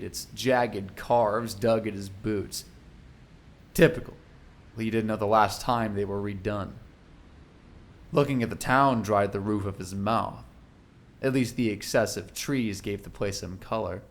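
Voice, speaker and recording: A man's voice: on the low side, measured pace. A man narrating a scripted audio drama in a dramatic storytelling style.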